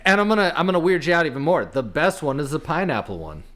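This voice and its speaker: Male podcast co-host, slightly high register, conversational, emphatic on personal tastes. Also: big pitch swings, fast talker, fairly bright tone. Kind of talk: casual chat.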